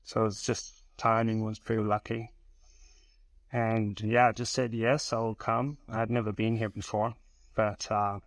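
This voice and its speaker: Podcast guest: South African man, thirties, even low delivery. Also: no echo. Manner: relaxed, even storytelling with pauses. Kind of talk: casual interview.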